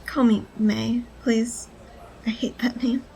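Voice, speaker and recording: A woman's voice: fairly high pitch, little pitch movement, takes it slowly, gravelly, a little mellow. An actress playing a wary, resolute female informant who speaks in an understated, matter-of-fact way in an audio drama. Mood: shy.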